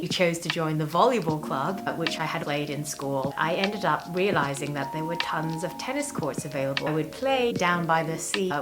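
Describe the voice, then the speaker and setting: A woman in her thirties from Hong Kong hosting a personal vlog, chatting to camera in an enthusiastic, emphatic way. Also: sits low. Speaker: vlog host. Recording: voice over prominent background music. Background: music.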